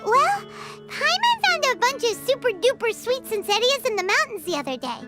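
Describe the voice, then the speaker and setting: A woman voicing a tiny, childlike fairy girl companion in an anime game, excitable, whiny and pushy, with a squeaky voice. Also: moderate pace. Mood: cheerful, pleading. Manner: speaks of herself in third person, excitable. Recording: loud music behind the voice.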